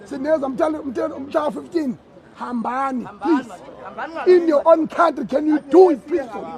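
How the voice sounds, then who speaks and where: A man's voice: very high voice, fairly quick. A man with a South African accent in a heated public confrontation, agitated and stammering over repeated words. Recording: noisy crowd around the speaker. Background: crowd of voices.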